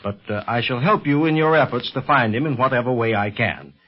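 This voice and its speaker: An actor playing a dignified, courteous doctor and diplomat with a formal manner in a 1930s radio serial. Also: male voice, mid-range voice, brisk, close to an everyday voice. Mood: gracious. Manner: formal, gracious. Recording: muffled 1930s radio recording.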